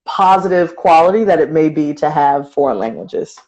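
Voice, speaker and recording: A woman's voice: deep voice, animated, moderate pace, a little mellow, poor audio. A woman hosting an online interview over a video call, polite and hedging with "you know" and "um". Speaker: host.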